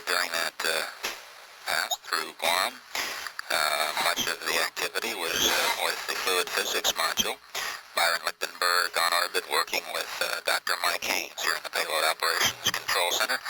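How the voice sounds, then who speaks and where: A man giving halting, measured status commentary as a space mission operations announcer. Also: sits low, gravelly. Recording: noisy 1980s space mission audio feed.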